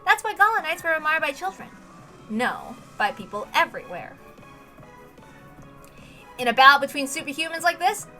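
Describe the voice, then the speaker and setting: A woman reading a story aloud as a narrator, with an animated, emphatic dramatic delivery. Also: sits high, low-quality recording. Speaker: reader narrating a visual novel.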